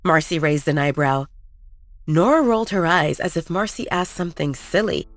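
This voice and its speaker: A woman narrating a werewolf romance in measured, scripted storytelling. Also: sits low, expressive, no room sound.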